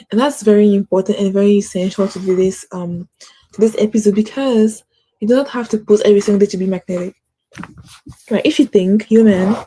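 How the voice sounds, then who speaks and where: Woman, motivational host, mellow voice, light stutter, lots of fillers, earnest live-stream chat. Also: medium pitch, poor audio. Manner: stutters, uses many fillers; earnest, encouraging. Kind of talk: casual motivational talk.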